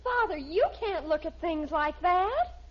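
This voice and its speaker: A woman's voice: very high voice, expressive, unhurried. A woman playing a dramatic, disapproving American teenage daughter in a radio sitcom. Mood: scandalized. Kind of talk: 1950s radio sitcom acting. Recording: muffled old radio recording.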